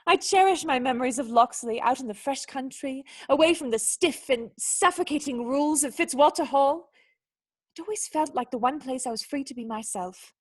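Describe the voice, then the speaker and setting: A woman's voice: sits high, normal speed, lightly acted. A woman playing a proud, defensive young noblewoman with formal, earnest speech in a radio play. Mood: wistful.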